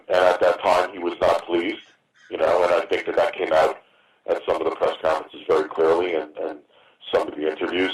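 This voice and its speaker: A man in his fifties with a very deep voice answers as a promoter on a conference call. His delivery is even and halting, with repeated words. The line is roomy and distant. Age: 50s. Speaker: boxing promoter taking reporters' questions. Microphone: phone on a conference call. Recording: roomy, distant, noisy teleconference phone line.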